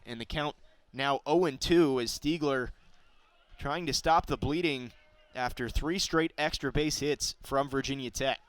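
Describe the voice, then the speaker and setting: A young man calling a baseball game live as play-by-play announcer, describing each pitch and getting excited on big plays. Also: measured pace. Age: young adult.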